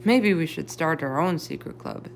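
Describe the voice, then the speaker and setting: A woman's voice: sits low, animated, moderate pace, gravelly, a little hiss or hum. A woman voicing an earnest, slightly sheepish young schoolboy in a children's storybook.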